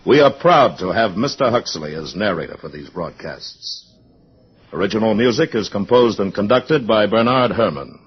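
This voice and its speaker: An American man announces in stately 1950s network style, with a lowish, slightly rough voice and dramatic formality. It is a muffled old broadcast with music behind him. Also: lively intonation, normal speed. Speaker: radio announcer.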